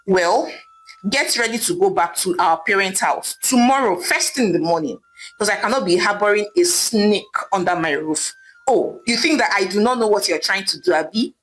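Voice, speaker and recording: A woman's voice: medium pitch, moderate pace, a bit bright, natural voice, low-quality recording. A woman voicing an angry, betrayed young wife who screams, wails and begs. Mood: furious. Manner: screaming, wailing, begging.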